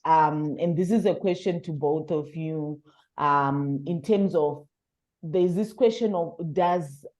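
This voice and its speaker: Mellow, low-voiced Southern African woman. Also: slow pace. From South Africa, born in Zimbabwe. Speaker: interviewer, researcher. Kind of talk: conversational interview.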